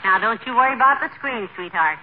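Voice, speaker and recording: An actress playing a patient, wry middle-aged wife in a 1940s radio comedy, gently scolding. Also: female voice, a little high, expressive, fast talker, phone-quality sound, natural voice. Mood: soothing. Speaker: lead comic character. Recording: crackly thin old radio broadcast.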